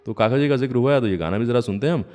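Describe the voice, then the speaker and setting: A man's voice: mid-range voice, racing, warm tone. A man hosting a radio show, telling stories between songs in a conversational way and acting out the dialogue he quotes. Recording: long reverb, faint music behind.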